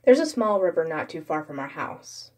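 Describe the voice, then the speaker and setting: Low-pitched woman narrating horror. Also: big pitch swings, normal speed. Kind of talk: first-person creepypasta narration.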